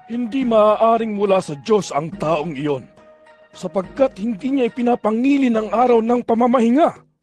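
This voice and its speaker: A man's voice: sits high, moderate pace, darkish tone, faint music behind, natural voice. A man playing an indignant, rigid religious official in an audio drama. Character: indignant, rigid male Pharisee. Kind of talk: Tagalog audio Bible drama.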